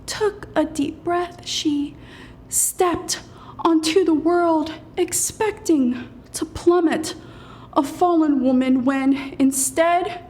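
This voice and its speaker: A woman performing spoken-word poetry as a poet, in a solemn, deliberate manner with weighted pauses and dramatic delivery. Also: very high voice, a little mellow.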